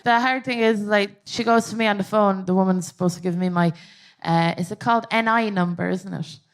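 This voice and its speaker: A woman's voice: medium pitch, steady tone, a little fast. A woman around thirty with an Irish accent, co-hosting a live comedy podcast in a chatty, self-mocking way, often laughing as she talks. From born in England, raised in Ireland. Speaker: co-host, comedian. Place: live theatre stage before an audience.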